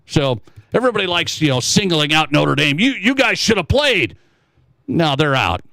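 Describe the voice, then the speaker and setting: A man's voice: fairly high pitch, big pitch swings, normal speed, creaky, bright, forceful tone, no room sound. An American man from Montana hosting sports talk radio, giving emphatic opinions and acting out mocking objections. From Montana, United States.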